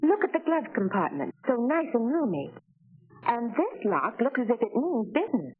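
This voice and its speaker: A woman's voice: a little high, big pitch swings, moderate pace, breathy edge, faint music behind. An actress playing a well-bred, admiring lady car shopper, genteel and carefully enunciated, in 1940s promotional film style. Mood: delighted. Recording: tinny 1940 film soundtrack.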